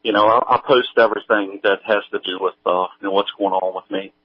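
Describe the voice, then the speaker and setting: A man speaking as an interview guest, chatting casually in a halting, folksy, informal way. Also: lowish voice, steady tone. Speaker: guest, audio drama creator. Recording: tinny, phone-like band-limited line.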